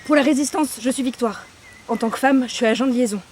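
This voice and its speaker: A woman's voice: on the high side, fast talker, a little mellow, slight background noise, lightly acted. A young woman playing a resolute, anxious Resistance courier in a radio drama, speaking urgently and earnestly.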